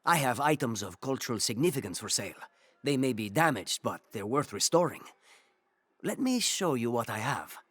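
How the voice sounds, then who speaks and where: A jumpy, defensive trader. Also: male voice, mid-range voice, sing-song, normal speed, fairly bright tone, dry recording. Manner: jumpy, defensive, then salesy. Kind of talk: video game cutscene acting.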